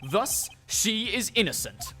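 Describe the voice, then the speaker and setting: A man's voice: high-pitched, very animated, takes it slowly, sharp tone, faint music behind, heavily acted. A man voicing a haughty, formal prosecutor with a pompous, precise manner in a video game fandub. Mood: insistent.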